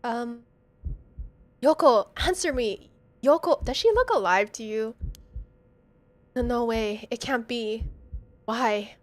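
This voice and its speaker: A woman's voice: a little high, animated, takes it slowly, pauses often, no echo. A woman game streamer playing a horror game live, reading text aloud and reacting in a dramatic, slangy way. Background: game music.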